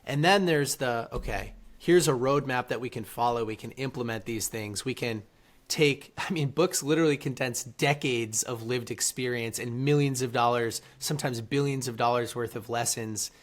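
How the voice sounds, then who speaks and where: A man's voice: medium pitch, moderate pace. A man speaking as a podcast guest, telling his story in an earnest, motivational way. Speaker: guest, author and entrepreneur. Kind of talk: casual interview storytelling.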